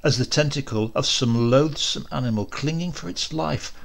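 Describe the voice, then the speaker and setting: A man narrating a horror short story dramatically, with deliberate, suspenseful, theatrical emphasis. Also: mid-range voice, gravelly, slight background noise. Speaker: audiobook narrator.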